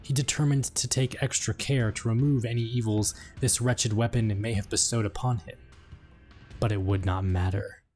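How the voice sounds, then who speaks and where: A man giving a solemn, portentous scripted narration of dark fantasy lore. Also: on the low side, fairly even intonation, moderate pace. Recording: background music under the voice.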